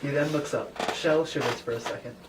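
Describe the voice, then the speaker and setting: A man with a mid-pitched voice reads stage directions in an informal narrating style. The recording is noisy and he sounds some distance from the microphone. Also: steady tone, a little fast.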